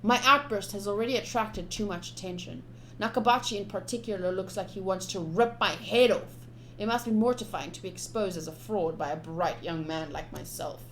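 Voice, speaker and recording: A woman streaming a game, reading story text aloud in an expressive, chatty way with casual asides. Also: medium pitch, brisk, slight background noise, rough recording. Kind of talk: live visual novel playthrough, reading aloud with casual asides. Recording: soft music behind voice. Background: game music.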